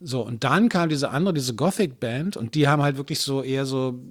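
A man chatting loosely about his band's touring days, his stories wandering and restarting as he goes. His voice sits at an ordinary pitch and is recorded close and dry, with no room sound. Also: brisk, dry recording. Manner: rambling anecdotes with restarts and chuckles. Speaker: musician guest. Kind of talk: casual conversation.

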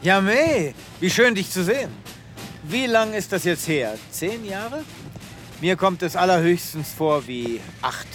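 An actor playing a self-important, restless space veterinarian with a theatrical manner in a comedic sci-fi audio drama. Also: male voice, sits high, very animated, measured pace, a bit bright, faint music behind, full character voice. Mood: upbeat, brisk. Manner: pompous, theatrical.

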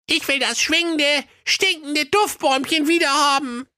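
A man's voice: very high-pitched, fairly even intonation, moderate pace, pushed and bright, dry recording, clean studio sound, pitched above the performer's own voice, full character voice. A man voicing a cold-hearted, rude young princess in a comic fairy tale, talking in snotty slang.

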